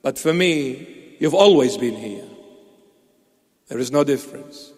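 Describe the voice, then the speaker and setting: A man's voice: mid-range voice, animated, unhurried, a little mellow. A man preaching a sermon, emphatic and repetitive, asking rhetorical questions with playful asides. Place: church hall. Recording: long reverb of a large hall.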